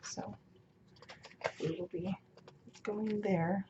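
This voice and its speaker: A woman with a slightly hoarse, raspy voice chats casually, thinking aloud as she works through a craft project. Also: mid-range voice, fairly even intonation, extremely slow. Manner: thinking aloud while working. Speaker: planner vlogger. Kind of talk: casual chatty craft narration. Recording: muffled sound with clear background noise.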